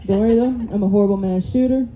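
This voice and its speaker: A woman with an American accent doing a stand-up set of quick one-liners on a club stage, with a rambling, self-mocking delivery into a handheld mic. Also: mid-range voice, phone-quality sound, faint music behind. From United States. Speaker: stand-up comic.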